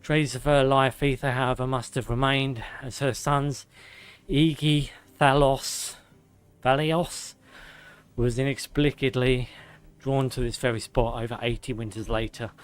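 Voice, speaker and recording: A man streaming a game, reading lore aloud with sarcastic asides, his voice going hoarse and a little out of breath. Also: medium pitch, fairly even intonation, a little slow. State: slightly out of breath. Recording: faint music behind.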